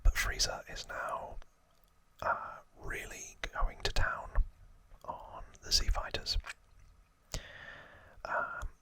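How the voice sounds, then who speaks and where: A man whispering as an ASMR host, telling trivia in a hushed, halting storytelling manner. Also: no echo, rough recording. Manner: hushed, slow, halting delivery.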